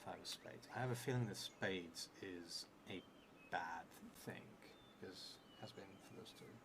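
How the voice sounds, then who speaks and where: A low-pitched man in his thirties thinks aloud through a solo roleplaying story. He is hesitant and musing, letting sentences trail away. The recording has clear background noise. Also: measured pace. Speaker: solo tabletop roleplay player and streamer. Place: indoors at a table in a theatre room. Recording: clearly noisy room recording.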